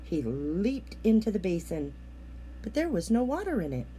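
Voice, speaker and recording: A woman reading a children's picture book aloud as an expressive storyteller. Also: medium pitch, normal speed, a little hiss or hum, poor audio. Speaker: storybook reader.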